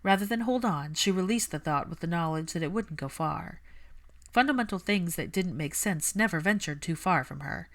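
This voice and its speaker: A woman with a low voice narrates a story. She reads scripted fiction in the manner of an audiobook narrator. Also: animated, moderate pace.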